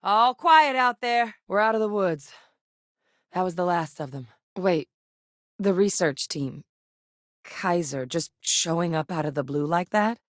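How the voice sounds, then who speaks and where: An earnest woman scientist from a sci-fi game, with a fairly low voice and measured, articulate delivery. She sounds serious and duty-minded, recorded dry and close with no room sound. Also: no room sound. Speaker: video game voice actress. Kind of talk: scripted game character dialogue.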